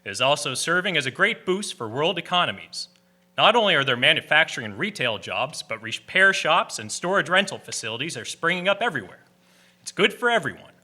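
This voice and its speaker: A man's voice: fairly high pitch, sing-song, fairly quick, pushed and bright, full character voice. A man playing a formal, earnest 1890s club president addressing a meeting in a community radio drama, in an oratorical manner. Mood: earnest, declamatory.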